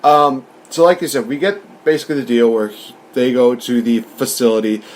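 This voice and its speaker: Casting: male podcast co-host, average pitch, informal conversational delivery, slightly rambling and colloquial. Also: fairly even intonation, normal speed, a little hiss or hum. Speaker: podcast co-host reviewing films. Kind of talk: casual, conversational film discussion.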